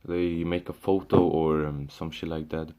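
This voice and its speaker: A man's voice: extremely low pitch, little pitch movement, unhurried, dark tone. A young man from Syria speaking in a casual ranting monologue, restarting often, swearing and calling the listener "bro". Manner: frequent restarts and fillers, swears, says "bro". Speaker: vlogger.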